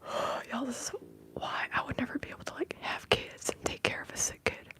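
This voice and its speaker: A woman hosting an ASMR live stream, whispering softly as she rambles and greets viewers in the chat. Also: fairly quick. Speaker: ASMR livestream host.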